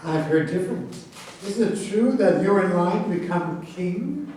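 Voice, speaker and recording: Guy acting touchy self-important army general in a stage satire, highish voice, super echoey distant recording. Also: animated, a little slow, a little mellow, a little hiss or hum. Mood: accusing. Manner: pompous, argumentative. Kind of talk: staged reading of a satirical play. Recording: very echoey room, distant mic.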